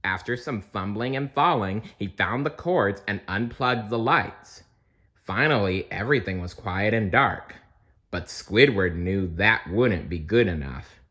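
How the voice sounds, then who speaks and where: A man reading a children's storybook aloud as narrator, in an expressive, dramatic storytelling manner. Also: lowish voice, unhurried. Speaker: storybook reader.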